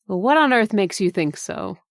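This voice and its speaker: A woman playing a gentle, puzzled mother who tenderly questions and coaxes her young son, in old-time radio drama style. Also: mid-range voice, animated, normal speed, no echo, studio quality, natural voice. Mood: puzzled, concerned.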